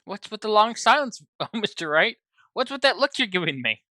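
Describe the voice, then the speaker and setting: A woman's voice: medium pitch, big pitch swings, fairly quick, dry recording, studio quality. A woman streaming a game, chatting casually and reading dialogue aloud. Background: loud video game music.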